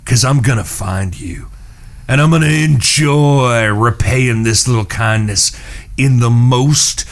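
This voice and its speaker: An actor playing a shrewd, menacing Old West gunslinger, speaking in a deliberate drawl in an audio drama. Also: male voice, mid-range voice, close to an everyday voice. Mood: cold menace. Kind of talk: western audio drama acting.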